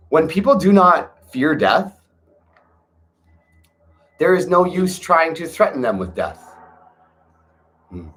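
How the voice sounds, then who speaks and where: A man slowly reading an old philosophical text aloud in a measured, pausing way, stopping now and then to add casual remarks of his own. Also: mid-range voice, fairly bright tone. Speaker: reader of a classic text. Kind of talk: slow reflective reading with asides.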